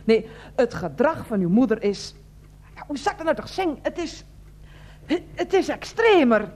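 An actress playing an older, self-righteous head of a residents' association in a radio play, wheezy, formal and repetitive. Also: female voice, high voice, sing-song, moderate pace, darkish tone. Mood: primly disapproving. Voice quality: wheezy, asthmatic breathing. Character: fussy, indignant older woman heading a residents' association. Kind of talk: Dutch radio play acting.

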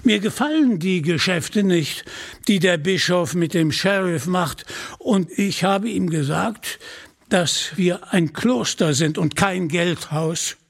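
A man's voice: sits high, slow pace, vocal fry, heavily acted. A man voicing a hearty, honest, gluttonous outlawed friar from a storybook, grumbling and frank. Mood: frank confession.

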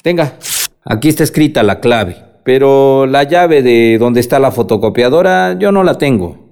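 A man's voice: mid-range voice, lively intonation, normal speed, a little mellow, clear, resonant tone, lightly acted. A man playing a condescending, evasive village official in a radio drama.

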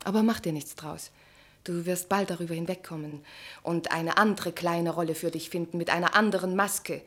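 An actress playing a bitter, mocking estranged wife in a German literary radio play, with an articulate, cutting, theatrical delivery. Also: female voice, fairly low pitch, steady tone, normal speed, lightly acted. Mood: cool mockery.

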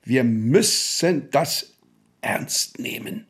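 An actor playing an authoritarian small-town Prussian official in a German radio play, stern and deliberate in manner. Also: male voice, medium pitch, lively intonation. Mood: firm, sceptical.

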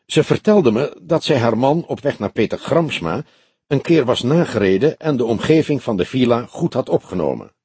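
A man's voice: mid-range voice, expressive, moderate pace, mellow, slightly rough, no echo. A man voicing a grizzled older police detective in an audiobook, grumbling, scornful and blunt. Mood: dry.

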